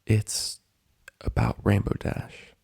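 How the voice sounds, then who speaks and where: A man's voice: slow, darkish tone, no room sound, studio quality, close to an everyday voice. A man as a weary, subdued pony princess. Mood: grave, subdued. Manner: subdued, reserved. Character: weary, grave, regal female pony princess.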